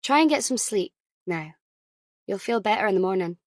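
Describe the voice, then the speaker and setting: A woman voicing a brisk female nurse in an audiobook, crisp and pleasantly firm. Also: mid-range voice, expressive, dry recording, clean studio sound, natural voice. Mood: softened, soothing.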